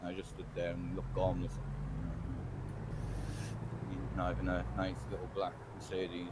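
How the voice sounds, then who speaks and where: A man's voice: deep voice, monotone, normal speed. A man with a Northeast English accent, a streamer chatting casually and reminiscing while gaming, swearing now and then. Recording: loud background noise.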